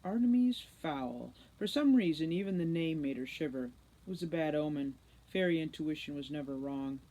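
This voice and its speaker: A woman reading a children's novel aloud as an expressive narrator. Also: deep voice, moderate pace, rough recording. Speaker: read-aloud storybook narrator, teacher.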